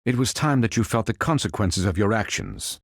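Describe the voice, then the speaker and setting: A man playing a calm, noble android superhero in a video game, speaking in formal, precise, stilted robotic phrases. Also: on the low side, normal speed, breathy edge, no echo, clean studio sound. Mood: measured, composed. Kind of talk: video game character voice lines.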